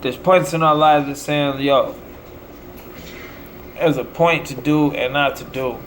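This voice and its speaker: American man, slightly high pitch, declamatory short phrases, rambling monologue, noticeable air-conditioning noise behind. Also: steady tone, unhurried, a bit bright, rough recording. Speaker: self-promoting musician.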